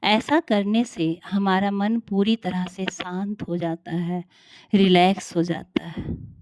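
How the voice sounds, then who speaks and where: A woman leading a guided meditation in a calm, soothing, unhurried way with long pauses. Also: medium pitch, dry recording.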